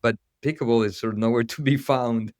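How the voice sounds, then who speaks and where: A man's voice: mid-range voice, fairly even intonation, fairly quick, a little mellow, no room sound, clean studio sound. A man from Ecuador hosting a casual interview podcast, restarting phrases as he talks. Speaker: podcast host.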